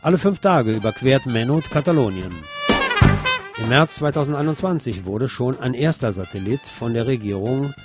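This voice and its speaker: A man's voice: medium pitch, animated, normal speed, music in the background. A man reading scripted radio news as the presenter.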